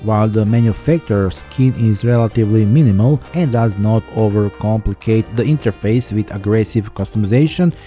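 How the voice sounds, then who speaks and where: Male tech reviewer, scripted voice-over, deeply mellow and dark tone, light music bed underneath. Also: brisk, hardly any pauses. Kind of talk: scripted product review narration.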